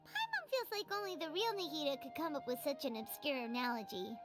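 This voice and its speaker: A little floating fairy girl from a game, squeaky and lively, with a voice that leaps all over the place. She is blunt and a bit bossy, and she speaks of herself by name. Soft game music plays faintly behind her. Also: quick pace. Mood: amused. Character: tiny floating female fairy companion, chatty and blunt.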